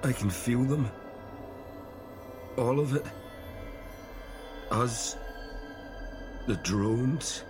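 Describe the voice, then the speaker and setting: An actor playing a haunted, overwhelmed young man with strange powers in an audio drama, halting and intense. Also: mid-range voice, animated. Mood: strained awe. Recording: ominous music under the voice. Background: scary music.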